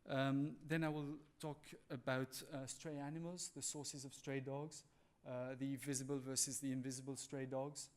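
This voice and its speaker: Halting man with a French accent. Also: mid-range voice, flat delivery. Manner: halting, polite and apologetic. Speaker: conference speaker, animal welfare manager. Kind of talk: conference presentation.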